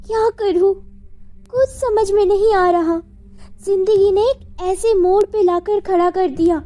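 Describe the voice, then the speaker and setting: Casting: actress as young working woman for animated melodrama, dutiful, firm yet gentle, very high mellow voice. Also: unhurried. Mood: troubled. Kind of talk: Hindi animated melodrama dialogue. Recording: music bed behind the voice.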